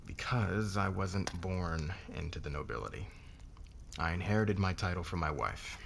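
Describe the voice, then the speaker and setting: Man acting as deep, gravelly, domineering boss. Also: animated, a little hiss or hum. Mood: businesslike. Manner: condescending, measured, commanding. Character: domineering, haughty wealthy businessman boss, threatening and smug. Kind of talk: intimate ASMR roleplay acting.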